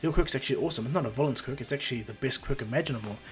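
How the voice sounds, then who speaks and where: A man's voice: medium pitch, racing, faint music behind, close to an everyday voice. A man voicing an eager young male hero student giving a rousing speech. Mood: earnest. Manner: rousing and loud.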